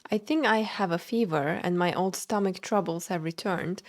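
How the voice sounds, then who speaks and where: A woman's voice: on the low side, normal speed. A woman livestreaming a video game, commenting and reading the in-game text aloud. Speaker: game streamer.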